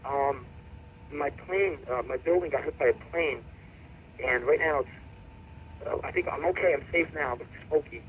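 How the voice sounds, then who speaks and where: A man in his thirties leaving a halting, hesitant personal message on an answering machine. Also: on the high side. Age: 30s. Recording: dull answering-machine phone recording, faint noise.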